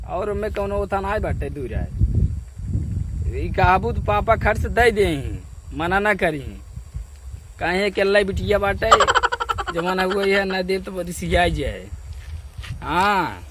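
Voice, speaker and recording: Man, eastern UP Awadhi accent, high pitch, unhurried pace, casual phone chat, rambling complaints, noisy rural outdoor recording. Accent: Awadhi, eastern Uttar Pradesh. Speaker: comedian doing a phone-call skit. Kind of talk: casual colloquial phone conversation.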